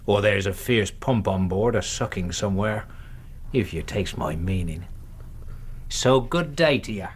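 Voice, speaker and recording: An actor playing an insolent, menacing old seaman turned butler, speaking in a rough, sneering sailor's manner in a radio drama. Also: on the low side, expressive, a little slow, a little hiss or hum. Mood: insolent, gloating. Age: older.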